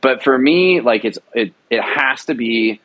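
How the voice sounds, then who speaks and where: A man's voice: medium pitch, expressive, unhurried, highs cut off. A man, a drama producer, talking earnestly in an interview, emphatic and often repeating words as he thinks. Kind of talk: passionate conversational interview.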